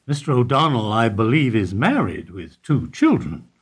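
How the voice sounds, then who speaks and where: A man acting in a radio play as an evasive older bank employee who answers in a guarded, deliberate way. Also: medium pitch, big pitch swings, slightly rough. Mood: guarded, terse. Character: evasive older male bank foyer employee under questioning.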